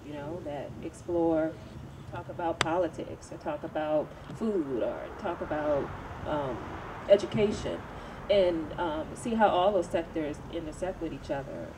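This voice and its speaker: Woman panelist, lower voice, halting and thoughtful; captured from afar outdoors with steady background noise. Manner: thoughtful, many fillers and restarts. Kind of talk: panel discussion answer. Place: outdoor evening event. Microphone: handheld microphone. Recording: distant camera audio, noisy outdoors.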